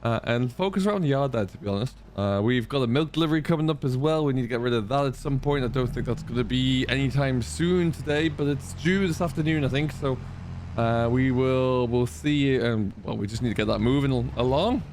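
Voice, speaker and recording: A man with a mid-pitched voice hosts a farming game roleplay in a casual, chatty way. He rambles and thinks aloud as he talks through the work. A faint layer of vehicle noise and ambience sits behind him. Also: brisk, darkish tone. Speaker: host of a farming simulator roleplay series. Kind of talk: casual gameplay commentary in farmer roleplay.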